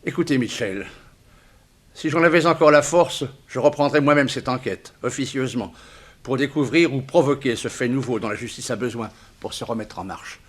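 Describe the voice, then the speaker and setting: An actor playing a weary, kindly old judge in a 1960s French radio drama, measured and formal. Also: male voice, medium pitch, lightly acted. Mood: pleading, weary. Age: older.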